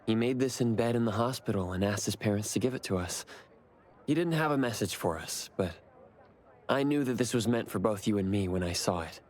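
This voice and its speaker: A man's voice: on the low side, lively intonation, brisk, natural voice. A man playing an earnest, reserved young man in an anime game, speaking sincerely and with understatement. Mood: calm, curious. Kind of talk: anime game voice acting, conversational.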